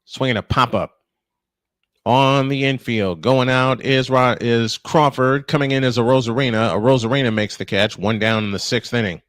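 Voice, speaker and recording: A man's voice: medium pitch, fairly even intonation, moderate pace, fairly bright tone, dry recording. A man calling live baseball play-by-play in punchy, clipped phrases, mixed with casual chat. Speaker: play-by-play announcer and host.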